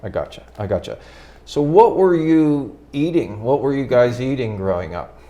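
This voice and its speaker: A man's voice: medium pitch, expressive, moderate pace, warm tone. A man hosting a local TV interview, asking friendly conversational questions. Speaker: TV interview host. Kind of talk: casual interview.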